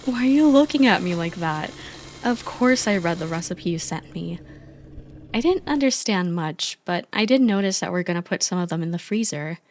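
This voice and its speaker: A woman's voice: on the low side, expressive, normal speed, a little mellow, a little hiss or hum. A woman playing an affectionate, playful girlfriend in an ASMR roleplay, chatty, teasing and supportive as an eager but clumsy baker. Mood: fond, mildly defensive.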